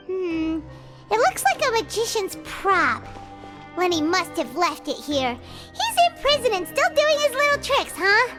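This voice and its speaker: A woman voicing a tiny, chatty, excitable fairy-like companion in an anime game, squeaky and childlike, exclaiming often. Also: heavily acted. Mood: excited, puzzled. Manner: refers to self in third person, exclamatory. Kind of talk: English anime game voice acting. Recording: game dialogue over background music.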